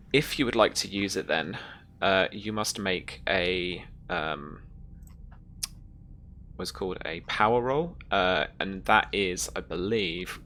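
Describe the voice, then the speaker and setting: A man running a tabletop roleplaying game, narrating scenes and explaining rules in a halting, thinking-aloud way. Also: low voice, pushed and bright. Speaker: game master. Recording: noticeable background noise.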